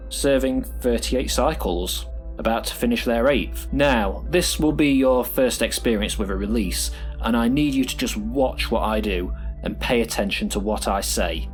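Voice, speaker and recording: A man's voice: mid-range voice, natural voice. A man playing a callous, officious prison supervisor in an audio drama, instructing in a matter-of-fact, clinical way. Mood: businesslike instruction. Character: callous, officious male prison pod supervisor training a recruit.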